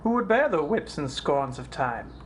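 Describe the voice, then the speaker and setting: A performer playing a brooding Shakespearean prince in a theatrical soliloquy, deliberate and pausing, in a home recording. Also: mid-range voice, expressive. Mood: bitter, indignant. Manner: deliberate, pausing, dropping to whispers. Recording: noisy amateur home recording.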